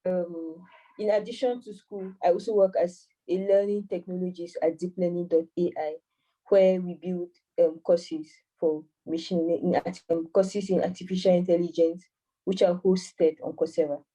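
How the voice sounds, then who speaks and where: A woman's voice: fairly low pitch, fairly even intonation, moderate pace, mellow, distant mic, low-quality recording. A woman in her twenties from Cameroon, an AI mentor answering interview questions hesitantly with many fillers and restarts. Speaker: AI mentor and master's student.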